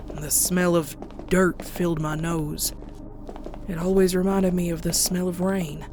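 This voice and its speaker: A woman voicing a young country pony, frightened but stubborn, narrating a horror story in a hushed, folksy, suspenseful way. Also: low voice. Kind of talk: dramatic first-person horror narration.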